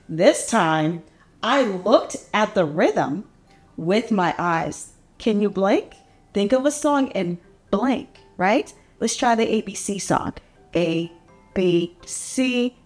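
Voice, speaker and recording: A woman reading a picture book aloud to small children in an animated, encouraging, exaggerated way, inviting them to join in. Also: mid-range voice, roomy sound. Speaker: read-aloud storyteller for young children. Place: small furnished indoor room.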